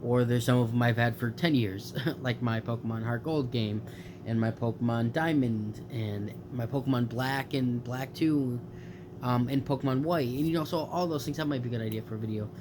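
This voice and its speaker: A young man with a slightly stuffed-up nose talks casually about games late at night, wandering off track and correcting himself as he goes. His voice sits at an ordinary middle pitch. A clear hiss of background noise runs under the home recording. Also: fairly even intonation, normal speed. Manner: rambling, lots of fillers and self-corrections. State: stuffed up from allergies. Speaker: gaming YouTuber.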